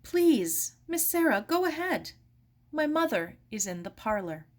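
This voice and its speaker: A nervous young woman, as a female reader plays her in a storybook. Her voice is lifted high above a narrator's register, and she is polite but anxious, with exclamations breaking through. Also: sing-song, measured pace. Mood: nervously polite.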